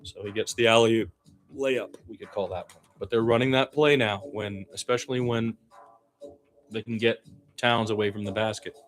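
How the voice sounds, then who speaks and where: A man's voice: lowish voice, steady tone, normal speed. A man giving conversational radio play-by-play of a basketball game, mixing running calls with opinionated asides. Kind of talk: live basketball play-by-play commentary.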